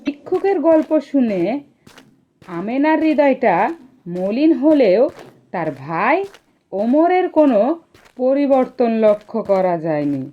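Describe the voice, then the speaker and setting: A woman's voice: high voice, big pitch swings, a little slow, pauses often. A woman narrating a scripted children's story. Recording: dull lo-fi voice track.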